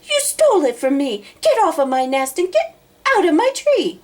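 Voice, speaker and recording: A woman's voice: high voice, wildly swooping pitch, normal speed, voice pushed up. A woman reading a children's storybook, voicing a lazy, flighty, indignant bird who gasps and sputters. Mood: shrieking indignation. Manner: gasping, sputtering, screaming. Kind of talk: children's storybook read-aloud.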